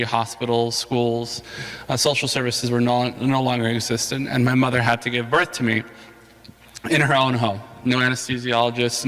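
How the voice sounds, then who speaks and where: Man at a forum, bright crisp voice, reverberant hall. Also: mid-range voice. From Somalia. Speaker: campaign surrogate speaker. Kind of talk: personal story at a public forum.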